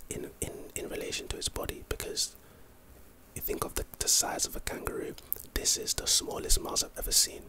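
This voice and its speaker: A man's voice: a little fast, low-quality recording. A man with a London accent doing casual ASMR commentary, reading and reacting to trivia in a rambling, playful, slangy way. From London, England.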